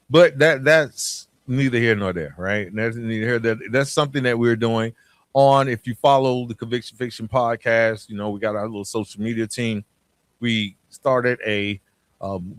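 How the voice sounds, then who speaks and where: A man's voice: fairly low pitch, no room sound. A man hosting a podcast, talking casually and motivationally in a colloquial, streetwise way with slang and personal storytelling. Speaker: podcast host.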